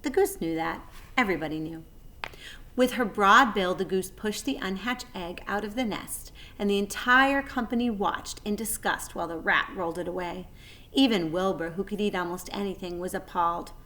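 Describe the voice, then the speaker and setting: A woman's voice: medium pitch, moderate pace, sharp tone. Woman reading a children's storybook aloud. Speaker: read-aloud narrator.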